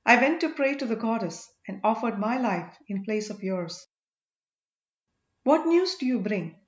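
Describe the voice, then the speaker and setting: A woman's voice: a little high, lively intonation, measured pace. A woman voicing an eager, worried and devoted village wife in an audiobook, with breathless questioning. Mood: breathless, anxious. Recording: echoey room, dull lo-fi sound.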